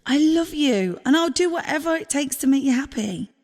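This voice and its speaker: Audio drama girlfriend, played by an actress: female, high and very animated, loving yet exasperated, emotional, sweary. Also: moderate pace, big-room sound. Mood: loving, reassuring. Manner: emotional, pleading, sweary, informal. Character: loving, exasperated girlfriend pleading in a breakup argument. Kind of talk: naturalistic audio drama dialogue.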